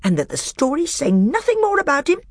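A woman's voice: high voice, big pitch swings, brisk, no room sound, voice pushed up. A woman doing a bitter, scornful male dwarf. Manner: cold, snapping, rising to a scream.

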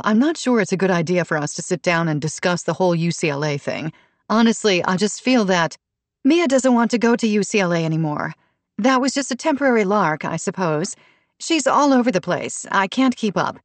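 A woman's voice: medium pitch, dry recording, pitched above the performer's own voice, close to an everyday voice. A woman voicing an anxious, friendly pregnant woman who is eager to please, in an audiobook. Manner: nervous, conciliatory. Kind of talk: audiobook character dialogue.

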